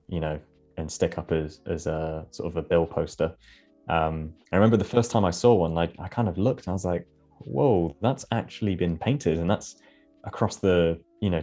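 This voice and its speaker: A British man hosts a live creative interview in a low, deep voice. He talks in a chatty, curious way, stringing out long rambling questions and remarks. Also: a little mellow. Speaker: host. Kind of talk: casual interview chat.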